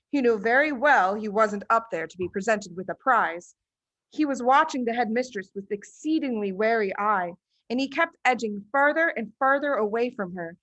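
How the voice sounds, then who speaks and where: A woman's voice: medium pitch, fairly bright tone, dry recording. A woman reading a children's book aloud as its narrator, in scripted storytelling style.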